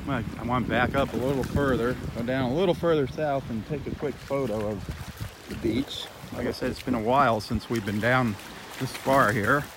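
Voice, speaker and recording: A man's voice: medium pitch, normal speed. A man hosting a casual live nature vlog in an easygoing, chatty, marveling way. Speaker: host of a live beach walk. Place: outdoors on a sandbar at a beach. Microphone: handheld phone camera. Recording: noisy outdoor audio with lapping water.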